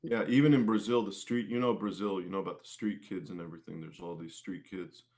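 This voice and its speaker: A man with a low-pitched voice talks casually as a guest in an interview. He speaks in a halting way, often starting a thought and then rewording it as he goes. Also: steady tone, darkish tone. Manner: halting, rephrases mid-thought. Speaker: interview guest, singer-musician. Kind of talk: casual conversational interview answers.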